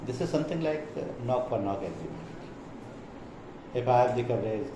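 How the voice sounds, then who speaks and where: Male trainer, Indian accent, didactic explanatory delivery, captured in a reverberant room with clear noise. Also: medium pitch, big pitch swings, a little fast. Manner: didactic, reading points then explaining them. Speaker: insurance trainer. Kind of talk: explanatory product presentation.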